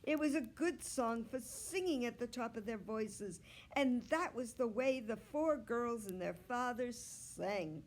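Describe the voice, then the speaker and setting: A woman reading a children's novel aloud as a storyteller, expressive and deliberate, drawing out words. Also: on the high side. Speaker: children's story reader, library staff.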